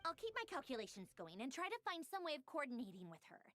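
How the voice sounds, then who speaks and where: A young researcher from a video game, high and very animated. She is bossy and excitable, landing hard on her points with dramatic emphasis. Music plays underneath. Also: fast talker, exaggerated voice. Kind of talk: English video game voice acting.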